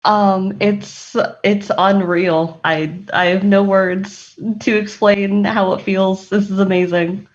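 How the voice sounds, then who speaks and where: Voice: mid-range voice, little pitch movement, slow pace, hardly any pauses, close to an everyday voice. A player as an awed young pop newcomer, muffled mic. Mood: awed, happy. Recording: dull, muffled microphone.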